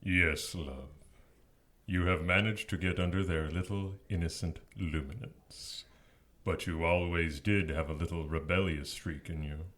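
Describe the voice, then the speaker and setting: A man's voice: sits low, steady tone, a little slow, fairly bright tone. A man playing a smug, taunting, seductive fallen angel in an audio drama, with a teasing, sardonic drawl.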